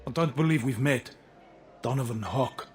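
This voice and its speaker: Charismatic smuggler villain for a game, male actor: medium pitch, polished, self-assured, quietly suspicious. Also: normal speed, soft background music, close to an everyday voice. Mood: suave, probing. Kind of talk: video game cutscene acting.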